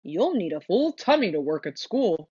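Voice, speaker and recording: A woman voicing a calm, reassuring father turtle in a children's storybook, in a steady, soothing way. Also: on the low side, moderate pace, breathy edge, no room sound, muffled recording.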